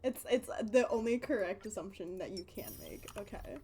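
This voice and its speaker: A woman's voice: mid-range voice, very animated, normal speed, darkish tone, husky. A woman live streaming a video game, giving casual, playful, slangy commentary. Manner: playful, slangy, reading game text aloud.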